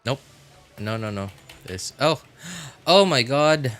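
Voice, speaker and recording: A man's voice: medium pitch, expressive, takes it slowly, fairly bright tone, faint music behind. A man streaming a video game, chatting casually in a rambling, self-deprecating way. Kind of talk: casual livestream chat while playing a video game.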